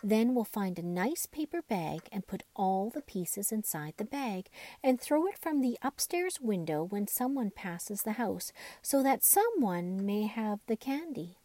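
A woman's voice: medium pitch, big pitch swings, unhurried, few pauses, mellow, no room sound. A woman reading the part of a cheerful, helpful boy rag doll who explains things earnestly in a storybook.

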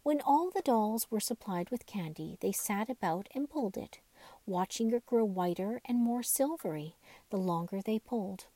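A woman's voice: medium pitch, expressive, moderate pace, mellow, no echo. A woman narrating a children's bedtime story from a script. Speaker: storyteller reading a children's book.

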